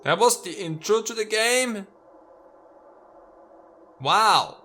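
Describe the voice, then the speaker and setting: A man's voice: sits high, animated, slow, pushed and bright, a little hiss or hum. A man giving let's play commentary, reading game dialogue aloud and breaking in with nitpicking, exclamatory asides. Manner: nitpicking, exclamatory asides between read lines. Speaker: video game streamer.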